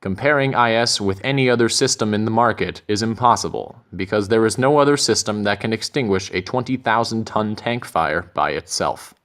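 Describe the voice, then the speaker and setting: A man reading scripted narration for a corporate training film in a measured, methodical way. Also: lowish voice, fairly bright tone.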